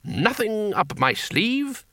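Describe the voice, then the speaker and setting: A man's voice: high-pitched, very animated, slow, sharp tone, no room sound, studio quality, voice pushed up, heavily acted. A man voicing a jovial, boastful, portly older wizard in a storybook, with a hearty, patronizing manner. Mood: showy. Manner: hearty, patronizing, tags questions with "eh".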